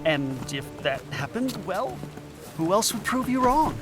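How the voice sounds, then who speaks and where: An actor playing a troubled anthropologist in an audio drama, speaking haltingly, sincere and a little wry. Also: male voice, high-pitched, very animated, over loud music. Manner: hesitant, halting.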